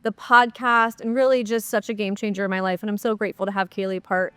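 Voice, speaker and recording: A woman's voice: medium pitch, level tone, fast talker, fairly bright tone, clean tone, no echo. A woman hosting her own podcast, talking in a chatty, upbeat way with plenty of fillers, her voice a bit nasal and stuffy from a cold. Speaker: podcast host. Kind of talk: solo podcast Q&A, casual chat.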